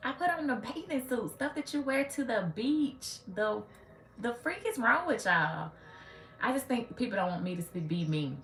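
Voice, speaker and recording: A woman's voice: on the high side, expressive, normal speed, fairly bright tone. A woman in her late thirties hosting a casual livestream, speaking sassily and talking back to her viewers' comments. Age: 30s. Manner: sassy, talks back to commenters. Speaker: livestream host. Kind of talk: casual live chat with viewers. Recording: echoey room with faint ambience.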